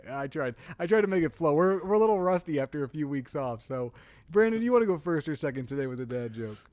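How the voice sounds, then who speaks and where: A young dad co-hosting a casual podcast, his voice sitting a little high for a man. He teases his friend and laughs easily as he talks. The sound is dry and close, with no room around it. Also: animated, brisk, darkish tone, dry recording. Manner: laughs often, teasing. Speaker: podcast co-host. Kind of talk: casual chat.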